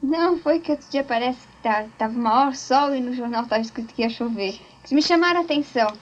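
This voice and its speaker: A woman's voice: sits high, fast talker. A woman talking casually in a group interview, telling stories with many restarts. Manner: chatty storyteller, frequent restarts. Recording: dull lo-fi tape recording.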